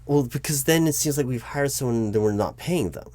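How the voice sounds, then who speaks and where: A man playing a flustered human resources manager in an audio roleplay, explaining insistently to his boss in a halting, repetitive way. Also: mid-range voice, a little mellow, close to an everyday voice. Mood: exasperated. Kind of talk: one-sided improvised audio roleplay, loud spoken.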